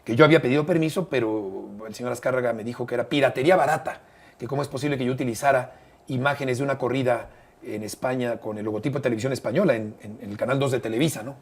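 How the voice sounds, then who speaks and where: An older man with a Mexican accent, telling stories as an interview guest in a measured way, often pausing on "eh" and repeating phrases. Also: mid-range voice, slightly rough. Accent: Mexican Spanish. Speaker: interview guest, broadcaster and bullfight writer. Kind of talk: relaxed conversational storytelling.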